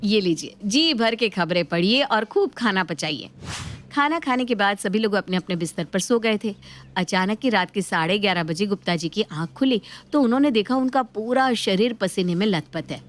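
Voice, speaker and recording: A woman's voice: medium pitch, expressive, moderate pace. A woman storyteller reading scripted narration for a moral story.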